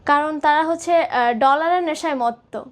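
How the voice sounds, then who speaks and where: A woman's voice: high voice, normal speed. A woman, a singer giving thoughtful, somewhat hesitant answers as an interview guest.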